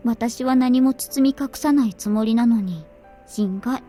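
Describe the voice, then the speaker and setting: An actress voicing a cool, blunt but quietly earnest young woman in a visual novel, with a matter-of-fact, understated manner. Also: on the high side, a little fast, lightly acted. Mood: earnest, slightly put out. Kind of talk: Japanese visual novel voice acting. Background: game music.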